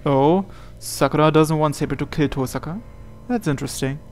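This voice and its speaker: A man's voice: fairly high pitch, normal speed. A man reading first-person visual novel narration aloud as he plays. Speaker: game player reading aloud. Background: soft game music.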